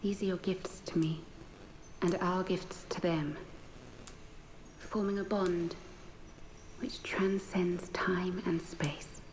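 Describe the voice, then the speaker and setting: A woman playing a gentle, serene noblewoman in a video game, with tender, softly breathed archaic speech. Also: fairly low pitch, steady tone, slow pace, pauses often. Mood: tender farewell. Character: gentle, serene, self-sacrificing noblewoman with sacred bearing. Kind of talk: scripted video game voice acting. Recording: echoing voice, faint background noise.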